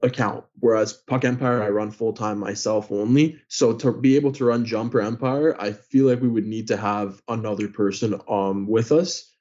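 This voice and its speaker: A man's voice: fairly low pitch, darkish tone. Chatty Greek-born young man, muffled. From Greece. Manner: chatty, many filler words. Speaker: guest, hockey player and sports news account runner. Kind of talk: casual interview answers.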